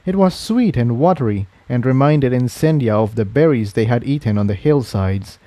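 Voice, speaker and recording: A man's voice: mid-range voice, animated, normal speed, dark tone, no room sound. A man reading a scripted adventure story aloud as its narrator. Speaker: audiobook narrator.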